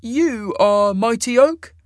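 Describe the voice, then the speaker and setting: A man voicing a commanding, calm immortal adjudicator in a fantasy audiobook, with measured, formal speech. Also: extremely high pitch, no echo, clean studio sound, voice pushed up, heavily acted. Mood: solemn questioning.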